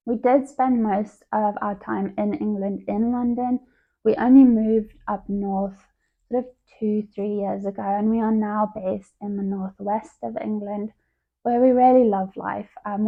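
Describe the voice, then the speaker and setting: A woman's voice: medium pitch, creaky. A woman, chatting casually to camera as a book vlogger. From South Africa. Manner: chatty and conversational. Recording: tinny phone-like sound.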